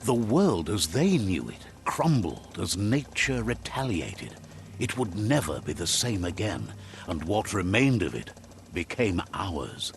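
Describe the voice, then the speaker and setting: A man narrating a game's story calmly, like a storybook, and relaying what a creature says. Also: sits low, unhurried, creaky, a little hiss or hum, full character voice. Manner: calm storyteller, translating a creature's speech. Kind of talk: scripted storybook narration for a game. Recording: game audio with faint music behind.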